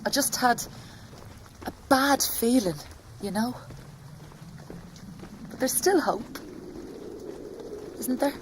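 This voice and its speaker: A woman's voice: on the high side, slow pace, long pauses, slight background noise. A woman playing an anxious working-class young mother who fears for her husband, in an intimate audio drama monologue. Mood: uneasy hope. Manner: hushed, breathy inner thoughts broken by spoken-aloud outbursts. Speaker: actor in a solo audio play.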